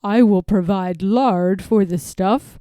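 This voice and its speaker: A woman voicing a suave, sly gentleman fox in a children's storybook, formal and smooth-talking with a bossy streak. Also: mid-range voice, takes it slowly, no echo, clean studio sound, voice pushed down, close to an everyday voice. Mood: courteous, scheming.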